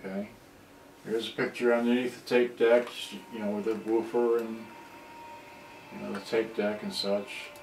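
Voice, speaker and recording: A man giving casual, off-the-cuff commentary as a repair hobbyist, talking in a rambling, halting way. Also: lowish voice, little pitch movement, creaky, a little mellow. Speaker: electronics repair hobbyist presenting. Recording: roomy, distant mic, faint noise.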